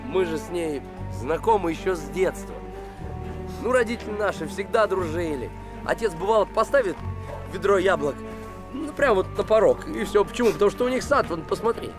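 A man's voice: high-pitched, lively intonation, fairly quick. An actor playing an earnest, protective provincial man with a plain, colloquial way of talking in a screen drama. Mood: reminiscing. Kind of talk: naturalistic screen drama acting. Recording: film soundtrack with background music.

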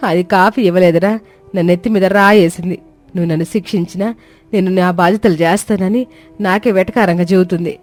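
A woman playing a scheming middle-aged mother-in-law in an animated moral story, scolding, sarcastic and self-pitying. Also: on the low side, fairly quick, faint music behind, lightly acted. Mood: angry, aggrieved.

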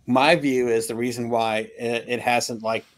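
A middle-aged man in a casual music discussion on a video call, halting and opinionated, often clearing his throat. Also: medium pitch, fairly even intonation. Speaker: album review panelist. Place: home room with records, indoors.

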